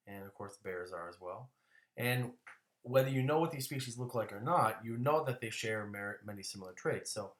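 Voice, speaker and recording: A man's voice: fairly low pitch, reverberant. A man teaching a classroom lecture in a casual way, often restarting words and coughing now and then.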